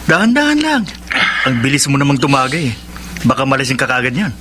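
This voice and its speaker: An actor playing a breezy, frank young Filipino drinking buddy in a radio drama. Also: male voice, medium pitch, wildly swooping pitch, a little fast, sharp tone, soft background music. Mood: teasing, cautioning.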